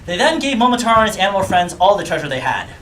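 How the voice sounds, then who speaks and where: A man's voice: sits high, very animated, racing, sharp tone, distant mic, a little hiss or hum. A man telling a comic tale at a live puppet show, theatrical and joking. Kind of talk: animated comic storytelling to an audience. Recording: echoey room, music behind.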